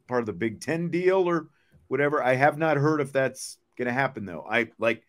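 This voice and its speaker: A man's voice: medium pitch, animated, normal speed. A man hosting a sports podcast, chatting casually and thinking aloud as he answers viewer comments.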